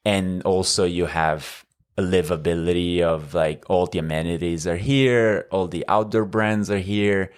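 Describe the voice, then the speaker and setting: Deep-voiced Italian man, smooth and measured. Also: steady tone. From Northern Italy. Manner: measured, with frequent fillers and restarts. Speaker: podcast guest. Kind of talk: conversational interview.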